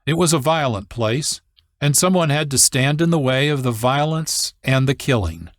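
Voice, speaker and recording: A man hosting with measured, deliberate scripted documentary narration. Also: mid-range voice, animated, dry recording, studio quality. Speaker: host and narrator.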